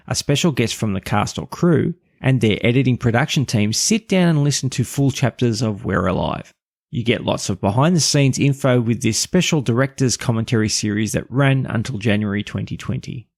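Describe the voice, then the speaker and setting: A man's voice: medium pitch, vocal fry, no echo, clean studio sound. A man hosting a podcast, narrating a scripted review. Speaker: podcast host.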